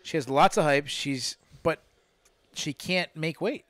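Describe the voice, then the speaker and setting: A man's voice: fairly high pitch, a little slow, fairly bright tone, no room sound. A man hosting a casual live podcast, joking around and making mock announcements. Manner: joking, hype-announcer delivery. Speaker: podcast host.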